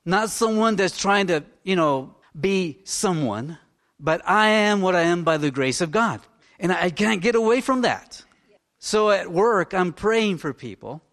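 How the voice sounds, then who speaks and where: A man in his fifties, a minister preaching in a fervent, rambling way that swings between loud exclamations and hushed talk. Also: high-pitched, animated, a little slow. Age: 50s. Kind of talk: informal sermon.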